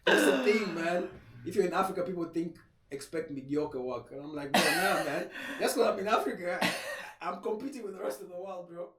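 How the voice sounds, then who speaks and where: A man's voice: high voice, a little fast, fairly bright tone. Chill young guy from kenya, lots of "bro" and "man", sounds a bit far off the mic in a roomy space. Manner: laid-back, slangy, laughs often. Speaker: tattoo artist. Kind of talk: casual podcast chat. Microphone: podcast mic set back. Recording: echoey room, voice off-mic.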